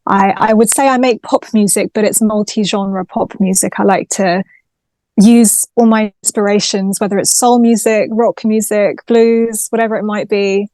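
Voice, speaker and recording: A woman's voice: medium pitch, fairly even intonation, moderate pace, mellow, no room sound. A chatty, articulate woman from London, raised bilingual in Greek, talking about her career as a singer-songwriter in a casual interview over a video call.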